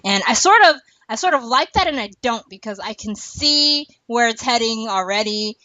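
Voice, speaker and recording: A woman's voice: on the high side, animated, moderate pace, bright, forceful tone, no echo. A woman hosting a podcast in a casual, rambling chat, laughing often. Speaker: podcast host. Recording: dull lo-fi dry recording.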